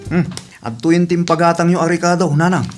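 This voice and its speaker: A man playing a calm, teasing adult son who talks soothingly to his mother in a radio comedy drama. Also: on the high side, fairly quick, over loud music, close to an everyday voice.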